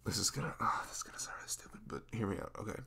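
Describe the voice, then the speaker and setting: A man's voice: on the low side, gravelly. A man playing a sweet, goofy, flustered young boyfriend in an intimate ASMR roleplay, stammering, apologetic and nervously tender. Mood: sheepish, nervous. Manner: stammering, halting, apologetic, breaking into soft nervous murmurs.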